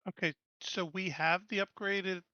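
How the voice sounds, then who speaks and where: A man's voice: high voice, steady tone, a little slow, pushed and bright, dry recording, muffled recording. A man chatting casually as a player in a tabletop game.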